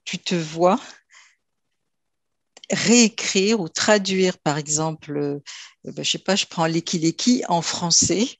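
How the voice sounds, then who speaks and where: A woman host with a gravelly, creaky voice, asking curious questions in a casual, conversational way. The sound is dry, with no room echo, and comes over a video call. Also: on the low side, slow, long pauses. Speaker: interview host.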